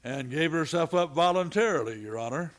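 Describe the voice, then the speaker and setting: A man playing a steady, plain-talking, protective frontier lawman in an old-time radio western. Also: on the high side, a little fast, close to an everyday voice. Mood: formal, firm.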